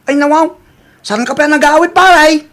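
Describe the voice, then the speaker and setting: A man's voice: very high voice, normal speed, fairly bright tone. A man acting an evasive, apologetic buddy in an audio drama, drawling his excuses. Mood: pleading, evasive. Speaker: drama actor.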